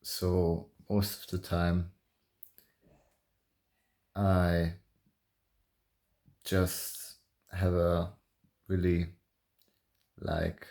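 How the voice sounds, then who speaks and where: A man in his early twenties speaking softly and calmly in a halting, hesitant way, thinking aloud in a personal confessional vlog. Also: sits low, gravelly. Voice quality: soft voice. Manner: calm, halting, hesitant with long pauses. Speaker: vlogger.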